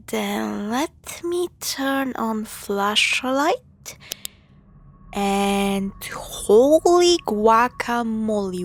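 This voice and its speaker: A woman playing a playful, sarcastic young girlfriend in a spooky roleplay audio drama, teasing and expressive. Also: fairly high pitch, heavily acted. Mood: sweet, sarcastic. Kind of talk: spooky roleplay audio drama, acted one-sided dialogue.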